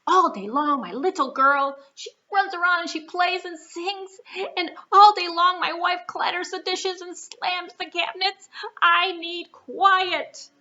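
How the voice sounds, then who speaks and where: A woman voicing an exasperated male farmer in a puppet show, whiny and hesitant, with drawn-out complaints and flustered stammering. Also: very high voice, pushed and bright, lo-fi sound, voice pushed up, full character voice.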